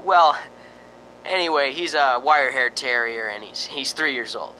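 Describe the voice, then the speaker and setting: A man's voice: medium pitch, lively intonation, moderate pace, bright, forceful tone, a little hiss or hum, low-quality recording. A man playing a jittery, joking, skeptical radio reporter in an amateur radio drama, with a folksy, stammering delivery. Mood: chatty, coaxing. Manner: folksy, wisecracking, nervous stammering.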